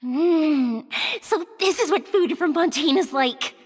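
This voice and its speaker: A woman's voice: sits high, slow, big-room sound. A woman voicing a gracious, curious young noblewoman in a video game, speaking in a polite, refined way. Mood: thoughtful, pleased. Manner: polite, refined, gently expressive. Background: music.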